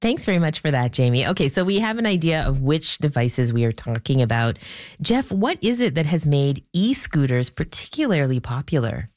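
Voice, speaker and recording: A Canadian woman hosting a live radio phone-in, talking conversationally to listeners, her voice lowered by a cold. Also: very animated, moderate pace, darkish tone, no room sound. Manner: conversational, addresses listeners directly. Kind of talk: hosting a live call-in discussion.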